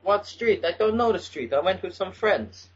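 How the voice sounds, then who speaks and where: Man acting an angry high-pitched mayor's son. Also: lively intonation, moderate pace, slightly rough. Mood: insistent. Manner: swearing, threatening, indignant. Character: angry, crude, entitled young mayor's son making demands. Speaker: prank caller. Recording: dull lo-fi phone call.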